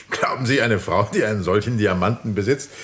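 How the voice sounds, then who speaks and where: A genteel middle-aged gentleman played by a male actor with a deep voice. He is polite, hesitant and a little flustered, a wealthy host in a radio crime play. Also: moderate pace, lightly acted. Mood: genial. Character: flustered, well-to-do father hosting a grand party. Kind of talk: German radio crime drama acting.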